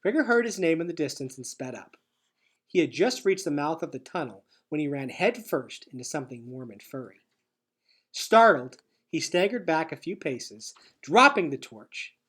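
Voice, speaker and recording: A man reading a children's adventure novel aloud in an expressive, dramatic storytelling style. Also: on the high side, moderate pace, husky.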